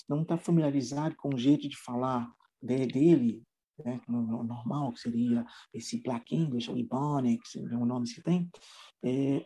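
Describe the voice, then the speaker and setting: A man who teaches English, talking casually in an interview and often pausing on fillers and restarts. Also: fairly high pitch, warm tone. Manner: hesitant, many fillers and restarts.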